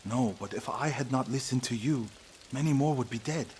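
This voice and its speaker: An actor playing an earnest, devout warrior monk of feudal Japan in a video game, speaking solemnly and with feeling. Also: male voice, mid-range voice, moderate pace, darkish tone, slight background noise, natural voice. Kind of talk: dramatic video game acting.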